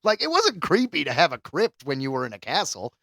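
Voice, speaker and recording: A man chats casually as a podcast co-host with a playful, theatrical way of telling things. His voice sits a little high and moves around with lots of animation. The sound is close and dry. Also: wildly swooping pitch, fairly quick, gravelly, sharp tone, no echo. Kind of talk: casual comedic podcast chat.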